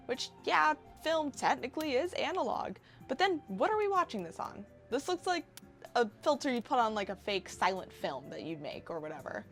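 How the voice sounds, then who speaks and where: A woman's voice: medium pitch, a bit bright. A woman in her twenties narrating a casual scripted video essay commentary, wry and given to sarcastic asides. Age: 20s.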